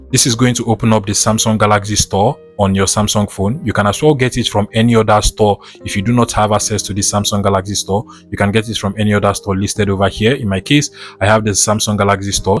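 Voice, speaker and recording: A man narrating a step-by-step tech tutorial in a methodical, instructional manner. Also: on the low side. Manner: methodical, instructional, repeats set phrases. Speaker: tutorial host.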